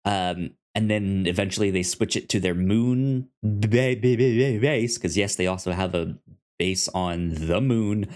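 A man chatting casually as a podcast co-host, halting and loosely phrased, often repeating words. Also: lowish voice, steady tone, a little mellow. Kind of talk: casual film discussion chat.

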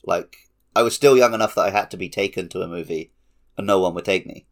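A man chatting casually as a podcast host, telling stories in an animated, laughter-prone way. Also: low-pitched, fast talker. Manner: animated storytelling with frequent laughter. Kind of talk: casual chat.

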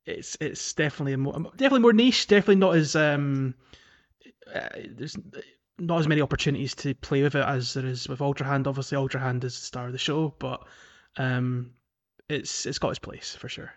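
Casual male podcast host, mid-pitched voice, animated storyteller for relaxed video game chat. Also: brisk.

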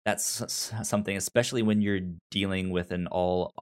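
A man with a stutter, talking casually and haltingly as he co-hosts a podcast discussion. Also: low voice, fairly even intonation, clear, resonant tone. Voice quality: stutter, repeating sounds and syllables. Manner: halting, searching for words. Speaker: podcast co-host reviewing an audio drama.

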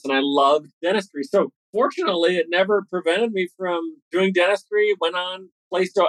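A man in his forties, a dentist and podcast guest, telling his career story in a fast, eager way with frequent restarts. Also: sits high, fairly bright tone, dry recording, poor audio. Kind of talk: podcast interview answers.

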